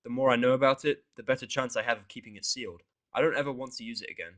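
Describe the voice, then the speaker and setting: A man's voice: mid-range voice, rapid-fire, fairly bright tone. A man reading fiction aloud as a dramatic first-person narration. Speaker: audiobook reader.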